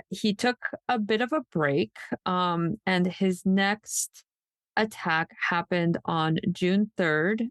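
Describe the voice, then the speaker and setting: A woman's voice: lowish voice, no echo, studio quality. A woman podcast co-host telling a true crime story conversationally, in an informal, somewhat hesitant way.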